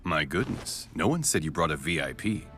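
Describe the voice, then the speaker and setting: Suave, deep-voiced corporate boss. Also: male voice, sing-song, moderate pace, a bit bright, heavily acted. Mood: genial. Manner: polite, smooth, formal. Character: suave, genial, calculating male corporate chief executive. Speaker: company CEO. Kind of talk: English video game voice acting. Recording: music under the voice.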